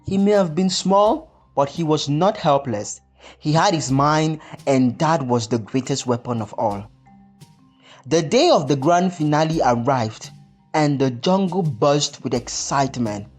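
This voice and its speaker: Folktale narrator, scripted storybook delivery, medium pitch, music behind. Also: expressive, a little slow, darkish tone.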